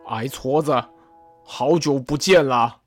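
A voice actor playing Chen Gong, an old friend appearing in a vision, teasing wryly with a laugh. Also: mid-range voice.

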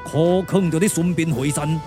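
A man's voice: a little high, fairly even intonation, over music, lightly acted. A man voicing a proud, wrathful ancient Taoist immortal in a Taiwanese puppet-theatre radio drama, declaiming grandly with a Taiwanese accent. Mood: indignant, menacing. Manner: grandiose, declamatory, drawn-out chanting.

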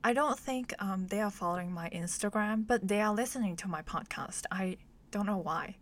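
A woman's voice: mid-range voice, normal speed. A woman whose first language is Cantonese, chatting casually in English as a podcast host, hesitant and often dropping to a near whisper. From Hong Kong or Cantonese-speaking region. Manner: soft-spoken, hesitant, often trails into a whisper. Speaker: podcast host, guest in this chat. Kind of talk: casual chat.

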